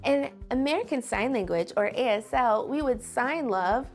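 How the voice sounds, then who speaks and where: A woman hosting a read-aloud show for young children, talking to them directly in an animated, encouraging way. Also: on the high side, normal speed, soft background music.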